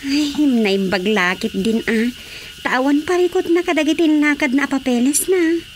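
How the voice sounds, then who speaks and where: A woman's voice: high voice, moderate pace, a little hiss or hum, natural voice. A woman with an Ilocano Filipino accent, acting a sassy, scolding young woman in a radio comedy drama. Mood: chatty, concerned.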